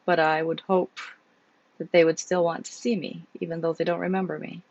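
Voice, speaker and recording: A woman with a cold voices a guarded man with a troubled past, measured and reasoning as he talks. Her hoarse, gravelly voice comes through a dull, dry lo-fi recording. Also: lowish voice, level tone, darkish tone, no echo. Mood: thoughtful.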